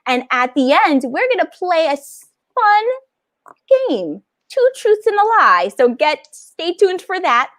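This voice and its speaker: A woman's voice: extremely high pitch, sing-song, unhurried, fairly bright tone, no room sound, studio quality. A woman hosting a library event, introducing an author in an upbeat, enthusiastic way. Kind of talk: introducing a guest.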